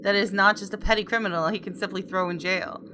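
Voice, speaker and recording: A woman's voice: mid-range voice, steady tone, fast talker, noisy background. A woman hosting a gaming video, reading a visual novel aloud expressively and adding casual asides.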